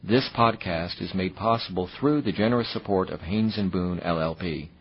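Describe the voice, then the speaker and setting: Man, very deep voice, husky, formal scripted intro, muffled sound. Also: normal speed. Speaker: podcast sponsor announcer.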